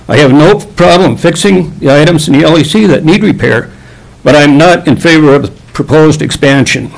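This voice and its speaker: A man delivering a prepared public comment in a measured, formal manner. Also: on the high side, gravelly, poor audio. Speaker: public commenter at a county meeting.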